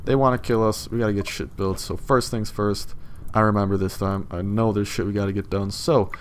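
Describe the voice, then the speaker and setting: Young male let's-player, a bit hoarse, lowish voice, rambly and casual, noisy recording. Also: steady tone, brisk. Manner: loose, rambling, swears casually. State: slightly hoarse. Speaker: let's play gamer. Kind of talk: casual gameplay commentary.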